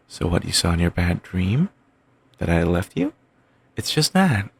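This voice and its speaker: A young man voicing an obsessive, doting boyfriend in an ASMR audio roleplay, murmuring soft, soothing, controlling reassurances. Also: gravelly, slightly rough, heavily acted. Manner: coaxing, endlessly affectionate, quietly controlling. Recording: home mic, low-quality.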